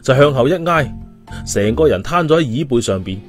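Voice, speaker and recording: A man narrates an audiobook in an expressive, storytelling style. His intonation is lively and his pitch sits in the middle, over faint music. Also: moderate pace.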